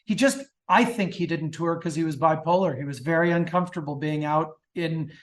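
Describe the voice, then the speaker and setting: High-voiced enthusiastic man. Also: brisk. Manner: enthusiastic, digressive. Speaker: guest author. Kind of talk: casual interview chat.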